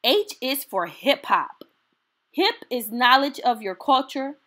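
A woman reading a children's picture book aloud as a storytime host, in an expressive, deliberate and encouraging way. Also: sits high.

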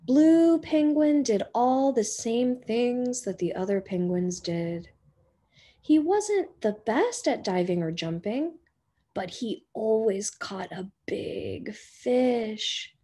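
A woman teacher reading a children's picture book aloud in a gentle storytelling manner, sometimes dropping to a whisper, recorded on a webcam in a small indoor room. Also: fairly high pitch, lively intonation. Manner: slow, gentle storytelling with soft whispered passages. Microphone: webcam on a video call.

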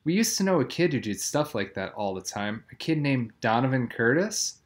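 A man's voice: mid-range voice, big pitch swings, normal speed, lightly acted. A man voicing a sheepish, teasing schoolboy sidekick in a children's novel, casual and full of excuses.

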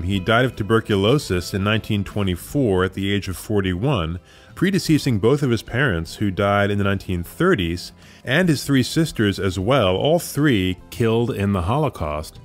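A man with a fairly low voice presents an audio drama program. His commentary is partly scripted, with informal asides. He comes across as thoughtful and measured. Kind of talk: semi-scripted host commentary.

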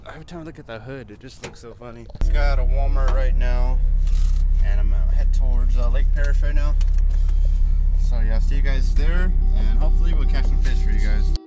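A man's voice: medium pitch, brisk, over loud music. An American man in his twenties chatting casually to camera as a vlogger, laid-back and rambling. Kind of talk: casual vlog chat to camera. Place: inside a small car and outdoors in open parking lots. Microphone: action camera at arm's length or mounted. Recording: noisy action camera, car and outdoor ambience.

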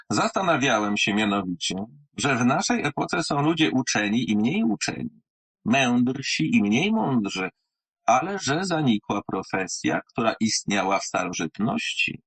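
A man reading and presenting literature in a theatrical, wry manner, with deliberate pauses and a husky voice. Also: medium pitch, fairly bright tone, rough recording.

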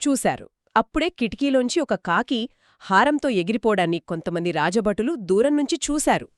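A woman co-hosting scripted children's storytelling in dialogue, with an expressive delivery. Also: fairly high pitch, normal speed, no echo, studio quality. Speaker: co-host storyteller.

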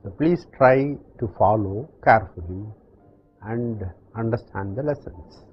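An older man from South India with a South Indian accent, teaching in slow, deliberate steps in front of a chalkboard. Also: fairly low pitch, lo-fi sound, a little hiss or hum. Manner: slow, deliberate explanations with pauses. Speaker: language teacher. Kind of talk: slow classroom instruction. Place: classroom with chalkboard.

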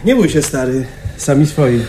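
An actor playing a delusional, paranoid patient who confides in a chummy, conspiratorial way in a radio play. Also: male voice, a little high, expressive, moderate pace, noisy background, close to an everyday voice. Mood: chummy, secretive. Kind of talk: Polish radio play acting.

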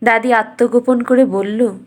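A woman narrating a scripted bedtime fairy tale as a storyteller, in a measured, expressive way. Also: on the high side.

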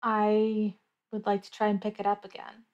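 A woman's voice: mid-range voice, monotone, normal speed, darkish tone, highs cut off. A woman playing in a casual, improvised tabletop roleplay podcast, hesitant and questioning. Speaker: player in an actual-play podcast.

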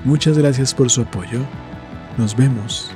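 A man doing scripted, dramatic storytelling with measured, deliberate phrasing. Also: mid-range voice. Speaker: horror story narrator and channel host. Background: music.

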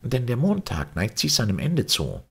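Give a patient, contented Martian voyager from an old science-fiction audiobook, acted out by a male reader. He speaks in a voice lifted well up, with lively swings and some huskiness, instructive and measured. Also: mid-range voice, gravelly, husky, voice pushed up, exaggerated voice. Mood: satisfied. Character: Sirian, content, patient male Martian space traveller explaining. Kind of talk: audiobook character dialogue.

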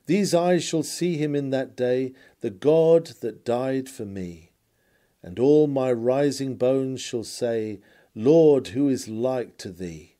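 A man reading a sermon aloud in a solemn, earnest, oratorical manner with dramatic pauses. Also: medium pitch, warm tone. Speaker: sermon reader.

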